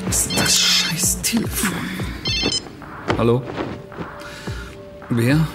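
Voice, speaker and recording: An actor playing a gruff, groggy, irritated man in a crime radio drama. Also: a little high, very animated, very slow, over music, full character voice.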